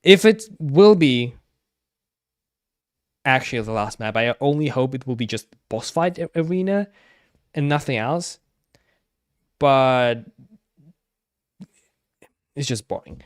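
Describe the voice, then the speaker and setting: A man's voice: medium pitch, expressive, takes it slowly, long pauses, no room sound, studio quality. A man giving casual gaming commentary as a streamer, often restarting and repeating his words hesitantly. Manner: hesitant, repetitive, stammering restarts.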